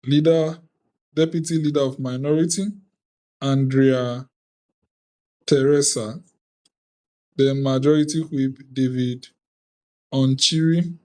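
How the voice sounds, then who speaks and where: Measured Ghanaian man, slow and formal. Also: medium pitch, steady tone, mellow, poor audio. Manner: deliberate, measured, reads out lists of names. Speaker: convener of an online youth parliament. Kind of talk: formal ceremonial address. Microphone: video call.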